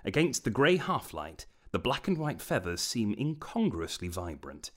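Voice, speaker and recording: Male fantasy narrator, fairly deep register, measured storyteller delivery with wide melodic movement. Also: fairly bright tone. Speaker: audiobook narrator.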